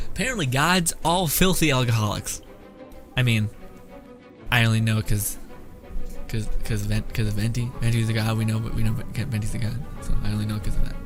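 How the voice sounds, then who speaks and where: A man's voice: on the low side, a little fast. A young man doing casual, joking gameplay commentary, rambling and sarcastic with some swearing. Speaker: game streamer. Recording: game music behind voice.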